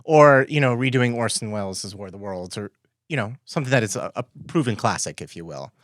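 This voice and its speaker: A man's voice: fairly low pitch, lively intonation, brisk, a bit bright, no echo, studio quality. A man hosting a casual interview podcast, tentatively rephrasing his questions and thoughts as he goes.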